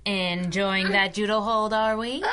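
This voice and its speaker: Sassy, bright-voiced superhero sidekick. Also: female voice, mid-range voice, fairly even intonation, a little slow, slight background noise. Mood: playful. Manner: playful, sassy, teasing. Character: cheeky, fearless female superhero sidekick, wisecracking. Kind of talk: pulp radio drama acting.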